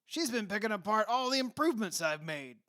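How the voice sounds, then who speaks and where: A man's voice: sits high, very animated, fairly quick, bright, forceful tone, dry recording, studio quality, exaggerated voice. A man acting in a radio play as a brash, blunt, middle-aged rich father who is impatient and demanding. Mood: brash, indignant. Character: brash wealthy father who bought an old mansion.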